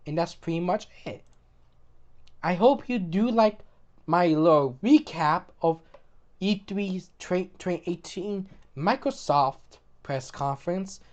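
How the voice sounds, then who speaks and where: A woman hosting casual gaming commentary, opinionated, often repeating and restarting words, her voice getting hoarse. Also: on the low side, slow, a little mellow. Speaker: gaming video host.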